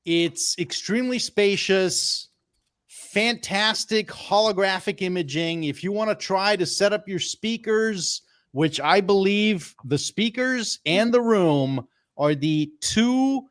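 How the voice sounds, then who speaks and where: A man's voice: high-pitched, takes it slowly, fairly bright tone, no echo, clean studio sound. A man presenting music picks on an audio roundtable show, enthusiastic and rambling, often repeating words. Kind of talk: casual roundtable chat.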